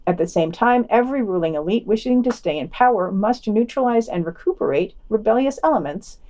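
A woman's voice: mid-range voice, animated, fairly quick, dark tone. A woman co-hosting a podcast, reading a scripted news round-up and dropping in wry, sardonic asides. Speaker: podcast co-host.

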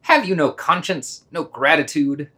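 A pompous, hypocritical scientist master, acted by a man in an audio play. He scolds with theatrical indignation, his pitch swinging up and down. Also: expressive, measured pace, pushed and bright. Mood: indignant, scolding. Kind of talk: amateur radio play reading.

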